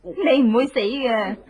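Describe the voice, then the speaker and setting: An actress playing a cunning, vengeful young wife in a Cantonese radio horror drama, with a Hong Kong accent and a manner that moves between coaxing politeness and taunting menace. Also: female voice, sits high, a little mellow, heavily acted. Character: cunning, vengeful young wife who drugs her enemies.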